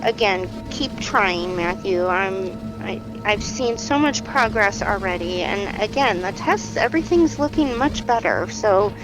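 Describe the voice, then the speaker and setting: A woman acts an encouraging, practical clinician in an audio drama. She speaks conversationally at a middling pitch, gently coaxing and reassuring. Clear music plays behind her voice. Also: expressive, measured pace, few pauses, natural voice, poor audio. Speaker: doctor or therapist. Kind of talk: naturalistic audio drama dialogue.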